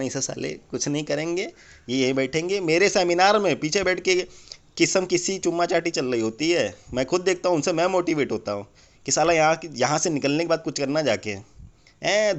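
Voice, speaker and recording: A man's voice: a little high, brisk, vocal fry. A man playing a crude, irritable motivational speaker in a comedy phone-call sketch, ranting bluntly and swearing in a rambling, cynical way. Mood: scornful, boastful.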